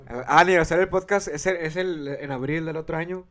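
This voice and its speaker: Fast-talking, slangy host. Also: male voice, on the high side, a bit bright. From Mexico. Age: 20s. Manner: joking, slangy, laughs often. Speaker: podcast host. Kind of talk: casual chat. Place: small room, seated on a couch. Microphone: handheld microphone.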